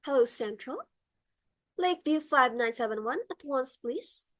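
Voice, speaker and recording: A woman's voice: sits high, expressive, unhurried. A woman playing a fussy, determined society hostess and mother in a dramatic, exclamatory stage play reading. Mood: pushy.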